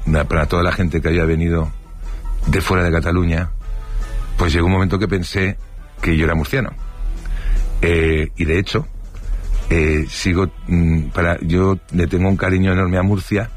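An older man from Spain with a Spanish accent, telling rambling childhood anecdotes in a casual interview, full of fillers and restarts. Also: low-pitched.

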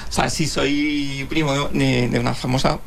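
A man's voice: medium pitch, flat delivery, moderate pace, creaky, a crowd in the background. A man from Madrid, an audience contestant, answering a bit shyly on a comedy quiz. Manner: shy, slightly embarrassed. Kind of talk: casual chat.

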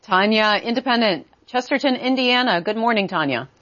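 Brisk female talk show host. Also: fairly high pitch, lively intonation, fairly bright tone, muffled recording. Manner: brisk, announces callers.

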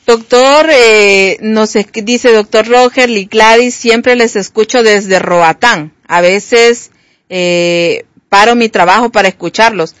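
A woman's voice: medium pitch, slow, no echo. A woman with a Honduran Spanish accent co-hosting a radio show, reading listener messages and greetings in a friendly way. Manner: friendly, reads greetings and questions.

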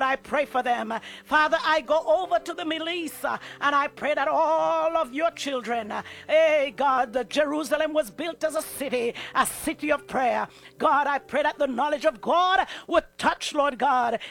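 Very high-voiced fervent male preacher. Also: gravelly, a bit bright. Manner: passionate, punctuated with "uh" fillers. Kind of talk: fervent sermon and prayer.

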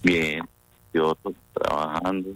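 A man's voice: rumbling low, fairly even intonation, takes it slowly, fairly bright tone, no room sound. A man on a phone call, puzzled and often asking the caller to repeat herself. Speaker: call recipient. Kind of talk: phone conversation.

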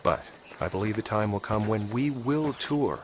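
A man acting in an audio drama as a gentle, thoughtful choir director with a formal, measured way of speaking, over faint background sound. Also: fairly low pitch, slight background noise, natural voice. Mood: modest, hopeful.

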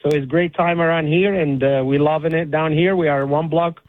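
A man from Egypt who owns an ice cream shop, speaking politely with many pauses over the phone as a caller. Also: on the high side, steady tone, no echo. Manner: polite, hesitant with fillers. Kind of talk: phone interview. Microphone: phone line. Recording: tinny phone line.

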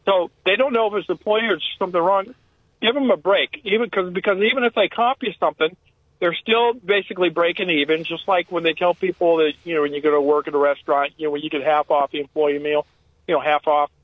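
A man's voice: fairly high pitch, fairly even intonation, fast, no room sound. An American man calling in to a radio show by telephone, giving rambling, earnest advice in casual everyday speech. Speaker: phone-in caller. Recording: tinny narrow-band phone line.

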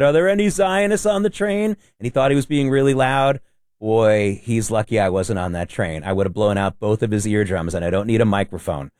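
Emphatic loud New man. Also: mid-range voice, no echo, studio quality. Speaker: radio co-host. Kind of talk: casual talk radio chat.

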